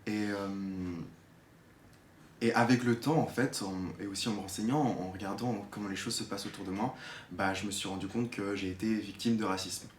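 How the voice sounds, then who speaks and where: A young man around twenty speaks in a fairly low voice. He gives a personal testimony off the cuff, hesitantly, often repeating words as he looks for the next one. The room is clearly echoey, with faint noise underneath. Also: fairly even intonation, moderate pace. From Geneva, Switzerland. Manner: hesitant, searching, repeats words while explaining. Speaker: drama student giving a testimony.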